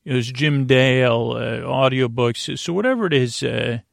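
A man with a gravelly, fry-laden voice rambles through a bedtime monologue. He speaks haltingly and wanders into whimsical tangents, leaving thoughts half finished. The sound is dry, with no room around it. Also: medium pitch, dry recording. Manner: meandering, halting, trailing off into tangents. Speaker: sleep podcast host.

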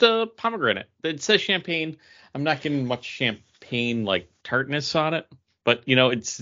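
A man's voice: medium pitch, pushed and bright, lo-fi sound. A man co-hosting a podcast in casual chat, rambling through tentative asides. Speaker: podcast co-host.

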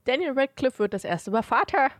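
A woman's voice: sits high, animated, brisk, no echo, clean studio sound. A woman co-hosting a podcast, chatting casually as a fan. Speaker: podcast co-host.

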